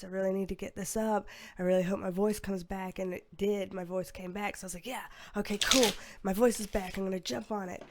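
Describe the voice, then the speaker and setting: Hoarse woman reading tarot. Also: medium pitch, darkish tone. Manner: rambling, filler-heavy, with sighs. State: losing her voice, a bit hoarse. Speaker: tarot reader. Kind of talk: casual psychic reading to camera.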